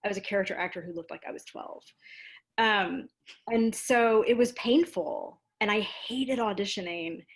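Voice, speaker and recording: An American woman being interviewed, speaking in a casual, animated storytelling way with self-deprecating asides. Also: mid-range voice, moderate pace. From Louisiana, United States. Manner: animated, self-deprecating, acts out quoted exchanges. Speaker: interview guest, theater dramaturg and producer.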